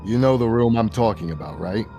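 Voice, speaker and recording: Low voice guy game mastering a tabletop rpg, bit of music under him. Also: expressive, brisk, gravelly, dark tone. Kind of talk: improvised tabletop game narration.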